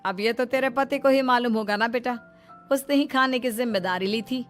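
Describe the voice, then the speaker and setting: An exasperated middle-aged mother-in-law from a cartoon moral tale, acted by a woman. Her voice sits on the high side as she complains and bosses with a schemer's firmness. Light music plays underneath. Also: normal speed, close to an everyday voice. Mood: complaining. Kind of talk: cartoon story voice acting.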